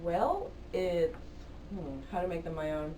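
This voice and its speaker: A woman's voice: on the low side, lively intonation, measured pace, warm tone, distant mic, slight background noise. A woman, the band's singer, answering interview questions casually with hesitant fillers and little laughs. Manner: giggly, hesitant, many fillers. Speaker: band singer, guest. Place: college radio studio.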